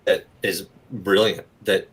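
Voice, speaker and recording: Bright-voiced man in casual conversation. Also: sits low, fairly even intonation, slow, slightly rough. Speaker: guest actor and producer. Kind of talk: casual chat.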